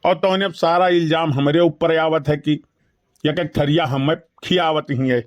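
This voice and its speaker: A man's voice: fairly high pitch, steady tone, normal speed, natural voice. A man voicing an exasperated, skinny henpecked village husband in a comedy cartoon, grumbling repetitively with an Awadhi accent. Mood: aggrieved complaining. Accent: Awadhi, eastern Uttar Pradesh. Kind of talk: rustic comedy cartoon dialogue.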